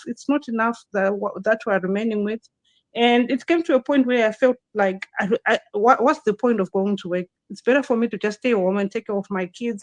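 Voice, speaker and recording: A woman's voice: on the high side, no echo. A woman hosting a live online interview as a business consultant, chatting with frequent restarts and fillers over a video call. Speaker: host, digital business consultant.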